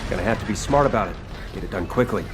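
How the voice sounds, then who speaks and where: A man's voice: on the low side, expressive, very fast, loud music behind the voice, close to an everyday voice. An actor playing a cocky, exasperated young male soldier in a video game, brash and teasing. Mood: brisk, commanding.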